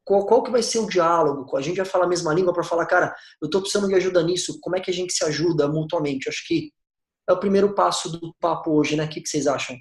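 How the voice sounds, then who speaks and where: A man's voice: a little high, fast. A man from Brazil, introducing a discussion in a friendly, explanatory way. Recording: roomy echoing room.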